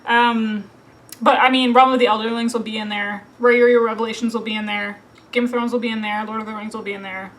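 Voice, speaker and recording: A woman's voice: fairly high pitch, flat delivery, fairly quick, fairly bright tone, rough recording. A woman in her thirties from Minnesota, chatting casually to camera as a book vlogger, with lots of fillers and frequent laughs. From Minnesota, USA. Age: 30s.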